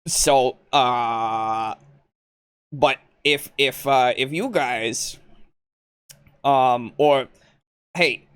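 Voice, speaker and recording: A man playing a tabletop roleplaying game, chatting casually and haltingly as he thinks aloud. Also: mid-range voice, a bit bright, clean tone. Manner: halting, thinking aloud while checking things. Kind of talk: casual game-session chat.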